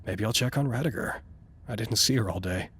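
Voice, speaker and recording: An actor playing a tired, self-conscious research doctor in an audio drama, rambling and stammering when flustered. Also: male voice, on the low side, a little fast, noisy background, natural voice. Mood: tired, mellow. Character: weary, petty but good-natured male research doctor. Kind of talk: audio drama acting, log monologue.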